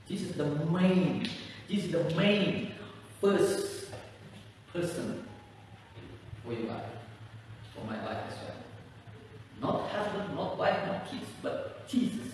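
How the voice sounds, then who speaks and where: A middle-aged man, a native Indonesian speaker, preaching a sermon as pastor, swinging from quiet lines to loud high-pitched rises while pacing and gesturing. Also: slight background noise. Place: large bare community hall, indoors. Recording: echoey hall, voice far from the mic.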